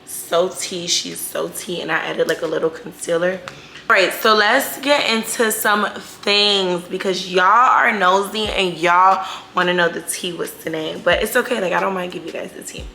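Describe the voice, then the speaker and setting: A woman's voice: lowish voice, moderate pace, gravelly, pushed and bright, a little hiss or hum. A woman in her twenties talking casually in a question-and-answer vlog, slangy, chatty and candid, stretching words for emphasis. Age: 20s. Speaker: YouTube vlogger.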